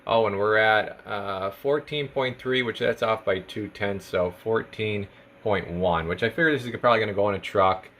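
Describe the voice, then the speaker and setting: Male technician, lowish pitch, informal step-by-step product demo, jargon-heavy, conversational. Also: moderate pace, fairly bright tone, a little hiss or hum. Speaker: radio technician presenting a radio for sale. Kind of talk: casual product demonstration.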